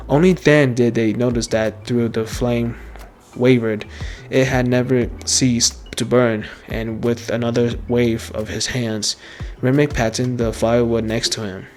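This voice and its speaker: A medium-pitched man reads game story text aloud at a very slow pace. His dramatic narration halts, stumbles and repeats, with music underneath. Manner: halting reading with stumbles and repeated words. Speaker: video game streamer.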